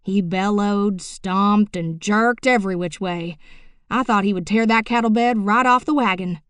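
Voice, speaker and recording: A woman's voice: medium pitch, unhurried, a bit bright, clean tone, no room sound, natural voice. A woman narrating an audiobook, voicing a middle-aged rural man telling a tall tale in a drawling, folksy way. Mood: yarn-spinning. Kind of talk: audiobook character reading.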